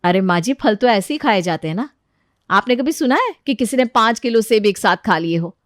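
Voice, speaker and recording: A woman voicing a weary, practical elder sister-in-law in an animated family story, wry and complaining. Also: medium pitch, a little fast, dry recording, studio quality, close to an everyday voice. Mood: firm.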